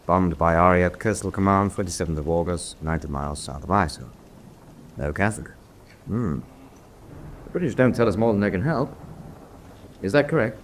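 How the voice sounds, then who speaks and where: An actor playing a senior officer in a radio drama, dry and authoritative, with a clipped, formal manner of briefing. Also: male voice, low voice, expressive, a little fast, pauses often, slight background noise. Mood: dry, probing. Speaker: senior prisoner-of-war officer.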